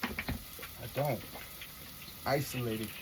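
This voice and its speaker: Low-voiced woman, earnest and slangy. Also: drawn-out words, slight background noise, rough recording. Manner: earnest, reflective, slangy and profane. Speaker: vlogger. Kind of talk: casual philosophical monologue to viewers.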